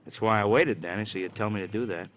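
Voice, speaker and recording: An American actor playing a wry, roundabout police detective who feeds out hints bit by bit in a 1950s radio crime drama. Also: male voice, fairly low pitch, fast, natural voice. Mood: methodical, knowing. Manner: drawling out hints, tagging questions with huh. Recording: hissy old radio recording.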